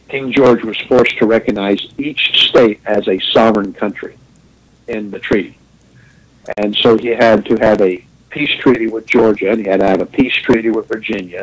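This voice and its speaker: A man's voice: fairly low pitch, husky, low-quality recording. A man who grew up in Japan, hosting a radio talk show, rambling through an opinionated monologue with wry chuckles.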